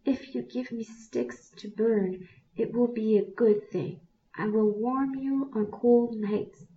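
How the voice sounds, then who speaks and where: A woman voicing a dying male fire spirit in a storybook tale, pleading and hushed. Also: on the high side, steady tone, takes it slowly, voice pushed up. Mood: promising. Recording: distant, dull lo-fi recording.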